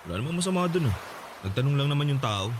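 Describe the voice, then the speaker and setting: A man's voice: medium pitch, big pitch swings, rapid-fire, background noise, close to an everyday voice. A man playing a casual, slightly defensive young boyfriend in a radio drama, with a Filipino accent. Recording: music behind studio dialogue.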